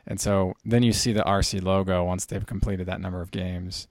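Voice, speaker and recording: Male podcast host, gravelly lower register, dry sound, casual explanatory hobby chat. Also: flat delivery, moderate pace, dry recording.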